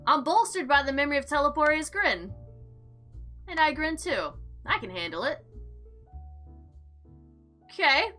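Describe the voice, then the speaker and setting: A woman with a high, bright voice hosts a game playthrough. She reads the story text aloud with lively swings of pitch and drops in slangy, sarcastic asides. Faint music plays behind her. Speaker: gaming video host. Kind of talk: animated let's play, reading game text aloud with casual commentary.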